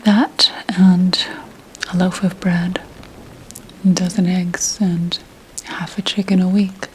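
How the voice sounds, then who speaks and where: A woman playing a proud, secretive 17th-century witch healer, whispering slowly and formally in an ASMR roleplay. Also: lowish voice, level tone, slight background noise, low-quality recording. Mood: confiding. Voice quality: breathy unvoiced whisper. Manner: deliberate, hushed, archly formal phrasing.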